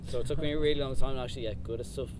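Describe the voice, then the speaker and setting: A young Irish man chats loosely about music, stringing thoughts together with filler words. His voice sits at an ordinary pitch, and a clear layer of room noise runs under it. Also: fairly even intonation, rapid-fire. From Ireland. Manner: rambling, lots of filler "like". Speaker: band member. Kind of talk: casual interview. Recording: noisy room.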